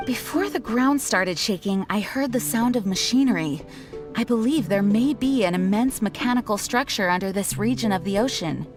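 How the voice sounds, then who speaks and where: A dutiful, vigilant young knight commander from a video game, played by a woman. Her mid-pitched voice is measured and formal, earnest in delivery, over faint music. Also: expressive, lightly acted. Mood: analytical. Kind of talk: video game character acting. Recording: music behind the voice.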